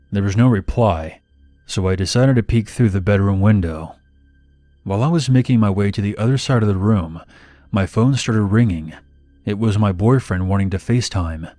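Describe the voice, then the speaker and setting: A man's voice: fairly low pitch, darkish tone, no echo. A man reading a scripted first-person horror story as narration. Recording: music bed behind narration. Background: background music.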